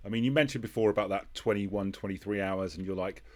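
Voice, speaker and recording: A man with a fairly low voice hosts a casual interview. He phrases things tentatively, circling and repeating words as he shapes each thought or question. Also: fast. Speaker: host, interviewer.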